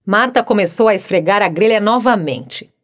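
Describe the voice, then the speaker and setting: A woman narrating an audiobook in a measured, expressive storytelling manner. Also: mid-range voice.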